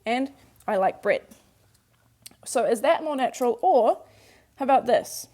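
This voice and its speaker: Woman, native English speaker, teacher, patient, step-by-step explanations, slightly high voice. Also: expressive, a little slow, warm tone. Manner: patient, walks through examples step by step, repeats key points. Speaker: Korean language teacher. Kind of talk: explanatory workbook lesson.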